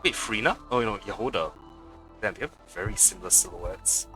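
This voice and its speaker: Low, bright-voiced male game streamer. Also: fairly quick. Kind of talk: casual let's play commentary, reading along. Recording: streamer mic over game music. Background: game music.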